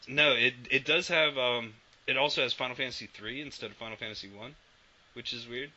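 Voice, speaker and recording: A man's voice: medium pitch, fairly quick, pushed and bright. A man co-hosting a podcast in casual chat, rambling and swearing casually. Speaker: podcast co-host. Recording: dull lo-fi podcast audio with faint noise.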